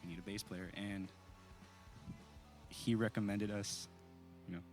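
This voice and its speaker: A low-voiced teenage guy from the East Bay talks loosely about his band, drifting through fillers and half-finished thoughts. His tone stays relaxed and unhurried, with faint music somewhere behind him. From East Bay, California. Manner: relaxed, rambling with many fillers. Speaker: band singer and guitarist. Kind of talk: casual interview chat.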